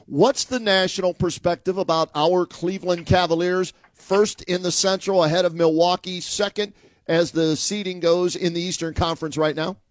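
A man's voice: sits high, steady tone, normal speed, no echo. A man hosting a casual sports radio interview, putting questions in an informal, chummy way.

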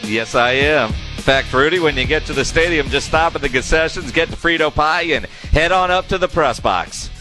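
A man's voice: a little high, moderate pace, hardly any pauses, pushed and bright, faint music behind. A man hosting a sports radio show, chatting casually and telling teasing anecdotes. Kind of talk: casual chat.

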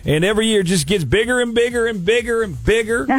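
Man putting on a high-pitched folksy Christmas collector. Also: lively intonation, quick pace. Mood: cheery, eager. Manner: folksy, chatty, exclaims old-timey cowboy words. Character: folksy, gleeful male Christmas village collector, a prank caller. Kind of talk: radio prank phone call.

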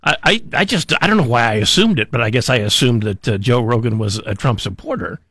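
A man's voice: medium pitch, lively intonation, fast, a bit bright, husky, no echo, clean studio sound. A man co-hosting a morning talk radio show, dropping wry, joking asides in casual chat. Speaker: radio co-host. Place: radio studio.

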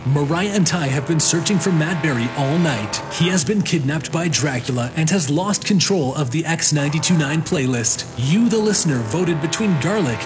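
Male narrator, highish voice, mock-dramatic storytelling for radio, prominent music underneath. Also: lively intonation, moderate pace, hardly any pauses.

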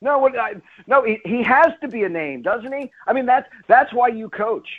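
A man's voice: extremely high pitch, lively intonation, moderate pace, slightly rough. A man speaking as a radio guest and play-by-play announcer, giving emphatic sports commentary and repeating phrases for stress. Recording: phone-like narrow-band radio line.

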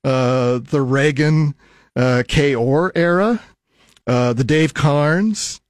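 An older American man hosting a radio talk show, speaking casually in a halting, reflective, rambling way on a close studio microphone. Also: a little high, dry recording. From Nebraska, United States.

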